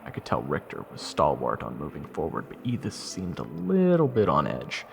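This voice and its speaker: A man's voice: rumbling low, huge pitch swings, moderate pace, gravelly, slight background noise, heavily acted. A man playing a wry soldier who narrates his own fantasy story in a matter-of-fact way. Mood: wry, watchful. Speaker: narrator of audio roleplay. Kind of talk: first-person scripted narration.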